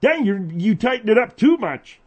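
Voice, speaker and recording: A man puts on a high-pitched studio sidekick on a comedy radio show. He talks earnestly and cluelessly. He sounds eager but dim. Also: very animated, moderate pace, a little mellow, lo-fi sound, a little hiss or hum. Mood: explaining.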